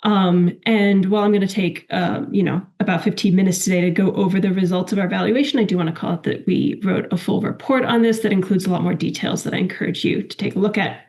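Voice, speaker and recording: A woman presenting evaluation results in a webinar. She is getting over a cold, so her voice is a little crackly, and she talks in a measured way with frequent ums and restarts. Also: medium pitch, steady tone, a little mellow.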